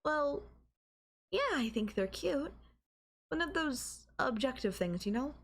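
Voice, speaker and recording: A woman's voice: high-pitched, lively intonation, slow pace, full character voice. Coy, teasing young girlfriend played by a woman. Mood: sweet, casual. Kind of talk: intimate anime-style audio roleplay.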